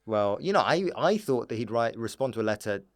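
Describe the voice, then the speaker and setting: A man's voice: mid-range voice, big pitch swings, brisk, dry recording, studio quality. A man telling comic stories as a guest in a casual podcast chat, laughing as he talks. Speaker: podcast guest, stand-up comedian.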